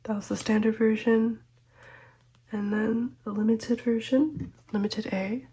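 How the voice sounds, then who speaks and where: Soft-spoken, slightly congested young woman. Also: on the high side, fairly even intonation, a little slow, breathy edge, low-quality recording. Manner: gentle, chatty, lots of ums and small laughs. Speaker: photocard collecting vlogger. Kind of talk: soft-spoken collecting vlog, often whispered.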